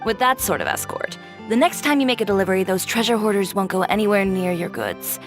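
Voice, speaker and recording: Woman as a calm confident fashion designer, dry teasing, expressive pitch, clear game music under it. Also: a little fast, natural voice. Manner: calm, composed, matter-of-fact with dry teasing. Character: poised young woman fashion designer, dry and self-assured. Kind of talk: game cutscene dialogue. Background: game soundtrack music.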